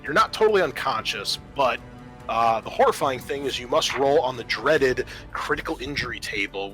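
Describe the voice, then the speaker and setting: A man's voice: medium pitch, bright, forceful tone, rough recording. A man acting as game master, explaining tabletop game rules step by step.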